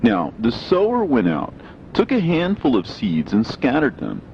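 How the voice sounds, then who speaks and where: A man's voice: medium pitch, wildly swooping pitch, unhurried, creaky, muffled recording, a little hiss or hum. A man reading scripture as scripted audiobook narration, in a solemn, declamatory manner. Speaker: reader of religious scripture.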